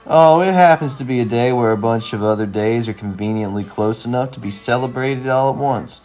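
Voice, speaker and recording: A man voicing a wry, plain-spoken, skeptical young man in an animated comedy-drama, with a deadpan, reasoning delivery. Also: medium pitch, fairly quick, soft background music, close to an everyday voice.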